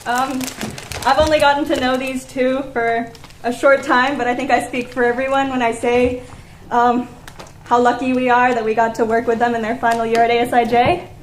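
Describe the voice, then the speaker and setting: A woman's voice: on the high side, little pitch movement, moderate pace. A young woman giving an informal tribute speech in an echoey room. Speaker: student cast member giving a tribute. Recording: echoey room with faint noise.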